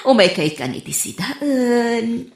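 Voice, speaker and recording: Woman acting a slow, swooping, lovestruck auntie. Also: on the high side, very animated, darkish tone, reverberant, heavily acted. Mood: lovestruck, giggly. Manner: giggly, drawn-out delivery. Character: coy, lovestruck middle-aged auntie in a comedy. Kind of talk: comedic radio drama acting.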